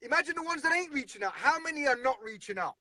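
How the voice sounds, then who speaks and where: A man's voice: extremely high pitch, lively intonation, fast talker, pushed and bright, dry recording, clean studio sound. A man talking earnestly and bluntly in a casual conversation, often repeating himself.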